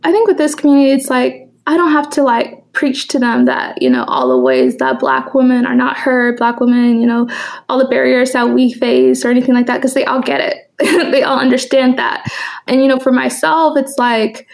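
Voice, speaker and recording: A woman's voice: high voice, lively intonation, normal speed, creaky. A young woman who grew up speaking Swahili and Kikuyu first, talking casually and chattily as an interview guest. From United States, raised in Kansas after early childhood in East Africa. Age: young adult. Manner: chatty, informal, laughs often while talking. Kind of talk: casual personal conversation.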